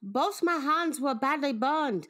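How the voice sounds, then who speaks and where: Woman voicing a soft-spoken young pianist assistant, high voice, slow and gentle, radio drama. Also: expressive, heavily acted. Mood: wistful. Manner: soft, polite, brief replies.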